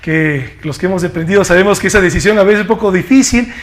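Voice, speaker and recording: A man's voice: high-pitched, fast. A man hosting an interview, greeting listeners and asking long, formal questions. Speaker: host.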